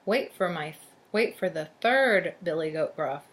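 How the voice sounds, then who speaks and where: A woman's voice: on the low side, expressive, unhurried. Middle-sized billy goat, woman reader's voice, meek, reasoning, pleading, children's storybook. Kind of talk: children's storybook read aloud.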